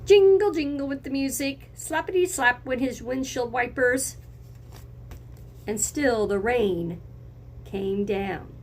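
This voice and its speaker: A woman with a fairly high voice and a strong creak reads a picture book to young children. She is expressive and encouraging, using simple phrases and turning to her listeners with questions. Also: takes it slowly, slight background noise. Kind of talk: read-aloud lesson for children, with questions to listeners.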